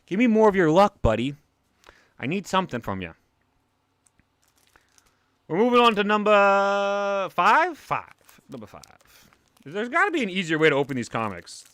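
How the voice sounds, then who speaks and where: A young man with a thick New York accent who gets excited fast, talking in a bouncy, cartoon-like way. His voice sits a bit high and sounds bright and forceful. The sound is close and dry, with no room around it. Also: no room sound. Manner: excitable, animated, talks like a cartoon character. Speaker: comic collector streamer. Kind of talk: casual livestream chat.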